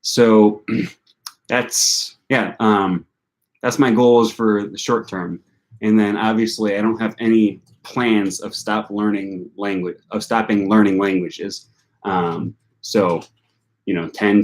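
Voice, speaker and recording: A man's voice: lowish voice, darkish tone. A young man from the United States with a northern US accent, hosting a language-learning channel and answering viewer questions in a rambling, self-correcting way with many fillers.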